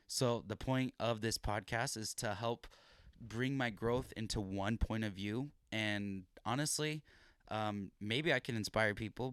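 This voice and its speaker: A man's voice: fairly low pitch, a bit bright, no room sound, studio quality. A young man hosting a casual podcast, talking earnestly and rambling with restarts and fillers into a podcast mic. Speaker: podcast host.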